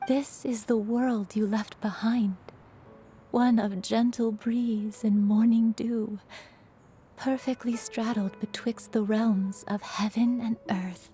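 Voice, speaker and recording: A woman voicing a mournful woman in a memory, speaking softly and pleading. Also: fairly high pitch, fairly even intonation, slow. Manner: soft, hushed pleading. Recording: music underneath.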